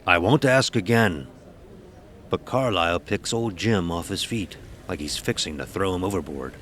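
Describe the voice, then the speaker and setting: An actor playing an old-time sailing-ship officer, telling a sea tale in salty, archaic nautical speech for an audio drama. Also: male voice, deep voice, lively intonation, moderate pace, fairly bright tone. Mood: commanding. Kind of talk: dramatic first-person audio horror narration.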